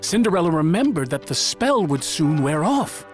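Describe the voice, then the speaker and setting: Male storyteller, children's tale narration, slightly high voice, highly varied intonation, dramatic delivery. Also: big pitch swings, moderate pace. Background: music.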